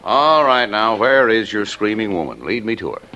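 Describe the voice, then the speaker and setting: A genial middle-aged father with a fairly low voice that swings expressively up and down. He speaks in measured, mock-formal radio-drama style, teasing yet ready to be firm. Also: very animated, sharp tone. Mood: indulgent. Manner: measured, jocular, mock-formal, sternly paternal. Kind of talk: 1940s-50s radio drama acting.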